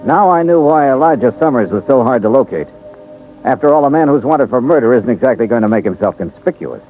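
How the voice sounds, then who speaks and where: An actor playing a wisecracking freelance insurance investigator, narrating in a dry, wry first-person voice in a 1950s radio drama. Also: male voice, medium pitch, expressive, fairly quick, natural voice. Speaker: narrator and lead detective. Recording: dull lo-fi old radio recording with music.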